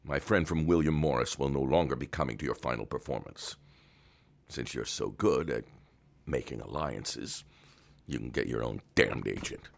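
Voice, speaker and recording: An actor playing a cold, controlling older man in an audio drama, threatening and swinging from hushed to loud. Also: moderate pace, a little hiss or hum. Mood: furious. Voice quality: gravelly, very deep. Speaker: Doctor Barrows, wealthy older power broker.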